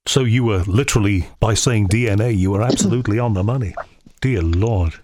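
A man hosting an interview, asking questions in a polite, measured and slightly hesitant way. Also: lowish voice, darkish tone, a little hiss or hum. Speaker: interviewer, host.

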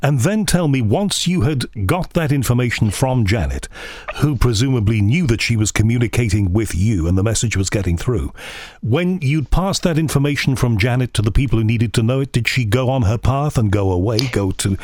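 A man hosting an interview, asking questions in a polite, measured and slightly hesitant way. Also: mid-range voice, expressive, a little mellow, no echo. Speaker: interviewer, host.

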